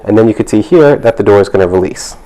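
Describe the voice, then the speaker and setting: Man, low voice, product demonstrator, step-by-step explanations, instructional delivery. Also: expressive, fast talker, mellow, rough recording.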